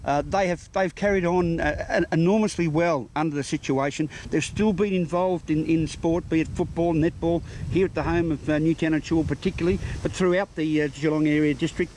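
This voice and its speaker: An Australian man with a slightly high voice works as a local TV interviewer. He phrases things formally and in a measured way. Some ambient noise sits behind him. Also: steady tone, a little mellow, low-quality recording. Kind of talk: community news interview.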